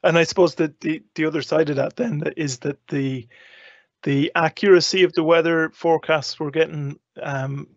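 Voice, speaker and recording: A man's voice: fairly high pitch, steady tone, moderate pace, no echo. An Irish man hosting an online live event in a conversational, affable, slightly rambling way. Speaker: host. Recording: dull, lo-fi online call audio.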